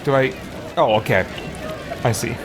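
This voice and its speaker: A man's voice: medium pitch, normal speed, over loud music. A man doing live roleplay commentary on a video game, switching between theatrical interjections and hesitant asides. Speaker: game streamer.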